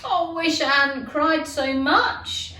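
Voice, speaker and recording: Woman acting a fretful, high-voiced little storybook girl. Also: takes it slowly, sharp tone, roomy sound, distant mic, exaggerated voice. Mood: miserable. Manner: plaintive, dramatic, talking to herself.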